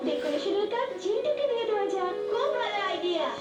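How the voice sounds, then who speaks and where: Little cartoon child, earnest, helpful, tiny high voice, echoey, loud music bed. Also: female voice, very animated, fast, far from the mic. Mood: cheerful, eager.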